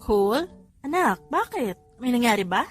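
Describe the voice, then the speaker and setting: A high-voiced young mother acted by a woman reader, fond but a little exasperated. She scolds gently in storybook dialogue over faint music. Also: very animated, normal speed. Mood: concerned. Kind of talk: audiobook character dialogue.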